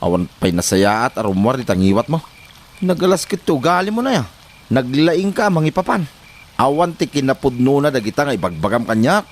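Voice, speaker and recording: Animated man acting as a grumbling husband. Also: mid-range voice, a little fast. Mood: exasperated. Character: defensive, grumbling husband accused of womanizing. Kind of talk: Ilocano comedy drama acting.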